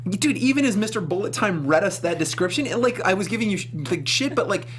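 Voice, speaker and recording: A man co-hosts a casual movie chat at a very quick pace. The delivery is informal and slangy, often checking that others agree. A faint hum and some noise run behind it. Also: sits high, animated, a bit bright. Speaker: talk show co-host. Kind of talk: casual film review chat. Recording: noisy room with electrical hum.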